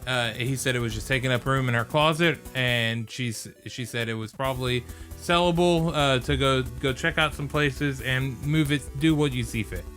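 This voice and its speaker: A man's voice: mid-range voice, moderate pace, fairly bright tone, faint music behind. A man co-hosting a podcast, chatting casually in a rambling way that circles back mid-thought. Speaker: podcast co-host. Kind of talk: casual chat.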